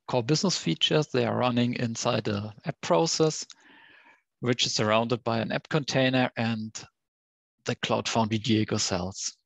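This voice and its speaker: A man giving an explanatory tech presentation on an online call, in a careful, halting way. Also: medium pitch, vocal fry, no echo. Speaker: guest presenter, software developer. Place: online conference call with screen share.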